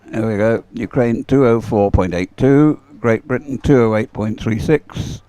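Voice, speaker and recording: A man's voice: mid-range voice, a little slow, dark tone, no room sound. A man giving casual, unscripted live sports commentary in a hesitant, musing way.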